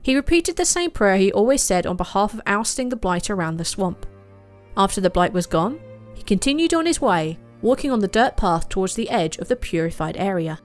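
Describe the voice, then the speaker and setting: Expressive female story narrator. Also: mid-range voice, a little fast, faint music behind.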